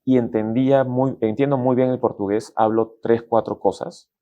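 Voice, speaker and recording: A man's voice: medium pitch, moderate pace, muffled recording. A middle-aged Peruvian man with a Peruvian Spanish accent, a guest executive telling stories in a casual, colloquial way. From Peru. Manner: relaxed, colloquial, acts out quoted dialogue. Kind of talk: casual interview storytelling.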